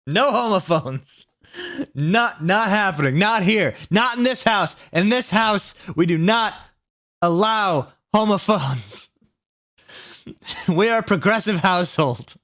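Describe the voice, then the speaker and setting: A man hosting a comic read-aloud of fan fiction, sardonic, with mocking asides and deliberately kept mistakes. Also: sits high, expressive, a bit bright. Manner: deliberately reads out misspellings, sardonic.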